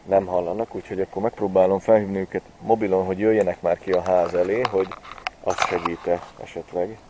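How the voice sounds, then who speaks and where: A man in his forties talks through a gadget review in a low, mellow voice. His delivery is relaxed and chatty, with many hesitation fillers, and the camera mic leaves a faint hiss behind him. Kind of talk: casual product review vlog.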